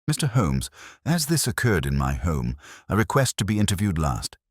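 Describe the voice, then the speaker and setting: A man voicing a formal gentleman of the house with a polite manner in a storybook mystery. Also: deep voice, sing-song, normal speed, slightly rough, dry recording, clean studio sound, voice pushed up, full character voice. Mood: polite, guarded.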